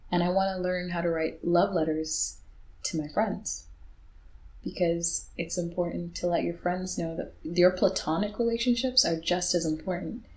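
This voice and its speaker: Young woman, lowish steady voice, reflective candid chat. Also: normal speed, rough recording. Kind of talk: candid conversation.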